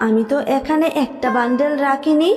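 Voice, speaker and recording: A stern fairy, voiced by a woman, speaks with authority in a high voice. She admonishes the greedy in a cartoon fable, with music underneath. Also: a little fast, in a large hall, lightly acted. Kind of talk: Bengali cartoon fable dubbing.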